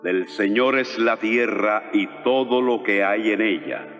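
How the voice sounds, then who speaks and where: A man's voice: lowish voice, a little slow, fairly bright tone, soft background music. A man playing the grave, declamatory voice of quoted scripture in a dramatized audio Bible, with a long reverb effect. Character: solemn male voice of quoted holy scripture. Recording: dull band, long reverberant hall.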